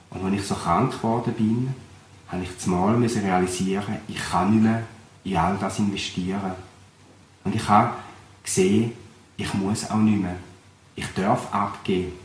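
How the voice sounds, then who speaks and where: A young man from Switzerland, a pastor with a Thurgau Swiss German accent, giving an earnest, reflective personal testimony at a measured pace. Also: fairly low pitch. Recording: roomy recording with faint background noise.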